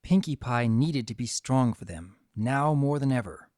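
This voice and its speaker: A man's voice: medium pitch, lively intonation, normal speed, darkish tone, no room sound, clean studio sound. A man reading a story aloud as a narrator, in a dramatic scripted storytelling style. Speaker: narrator of a fan fiction story.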